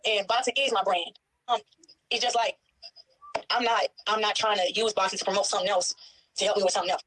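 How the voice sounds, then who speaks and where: A woman talks at a quick pace in a casual interview. Her voice sits at a middle pitch and she speaks in an everyday conversational way. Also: fairly even intonation, fairly bright tone, poor audio. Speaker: boxer.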